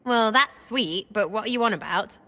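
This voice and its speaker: A woman's voice: mid-range voice, normal speed, pushed and bright, natural voice. An actress voicing a cheeky, irreverent young elf woman who teases and uses crude slang. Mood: giddy. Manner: playful, teasing, crude slang. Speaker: companion character.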